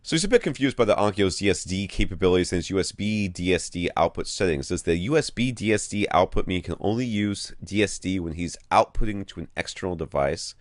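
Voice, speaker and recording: A man with a deep voice chats casually as a podcast co-host. His intonation moves around a lot, animated and informal, and the sound is dry and close, without room echo. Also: fast talker, few pauses. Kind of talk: casual chat.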